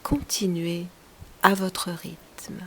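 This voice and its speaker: A woman leading a guided relaxation meditation as a yoga teacher, speaking softly and soothingly in short, unhurried phrases, often breathy as they trail off. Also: fairly low pitch, fairly even intonation, slight background noise.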